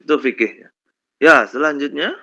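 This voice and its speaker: A male lecturer with a slight cold, telling stories slowly with many fillers in a lesson on Islamic law. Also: fairly high pitch, pushed and bright. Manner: slow storytelling with many fillers and "kan begitu ya". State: has a cold, coughs. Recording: dull lo-fi recording.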